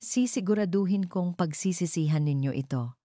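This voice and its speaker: A woman's voice: fairly low pitch, moderate pace, dark tone, no echo, clean studio sound, natural voice. A woman voicing a cold, cruel, scornful man, a scheming fiancé in an audio drama, with a mocking, menacing manner.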